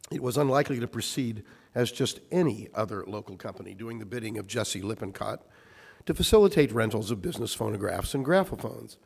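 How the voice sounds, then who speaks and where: A man with a hoarse, croaky voice reading a prepared history lecture to an audience in formal, wordy phrasing, often clearing his throat. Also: medium pitch, darkish tone. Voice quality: croaky, recovering voice. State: hoarse, getting over voice loss. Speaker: speaker giving a history presentation. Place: large echoing room with a presentation screen. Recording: reverberant hall sound.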